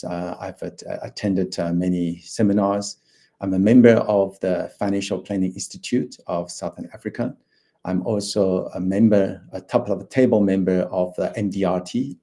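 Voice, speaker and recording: A man in his fifties, originally from Taiwan, speaks over a video call as a financial planner giving a presentation. His voice sits low and sounds soft and mellow. He hesitates often, with many fillers and restarts. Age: 50s. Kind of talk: online presentation.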